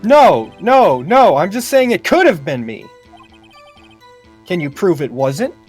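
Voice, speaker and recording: A man's voice: sits high, sing-song, takes it slowly, darkish tone, soft background music, heavily acted. A man voicing an earnest, uneasy young defense lawyer in a video game, plain-spoken and questioning. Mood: challenging.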